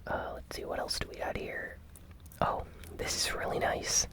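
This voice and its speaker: Whispered ASMR gaming news host. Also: normal speed. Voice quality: breathy, unvoiced whisper.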